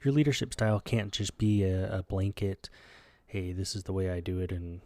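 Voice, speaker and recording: Man, gravelly, low-pitched, first language Spanish, wry rambling podcast co-host. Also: normal speed, mellow, dry recording. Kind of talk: casual chat.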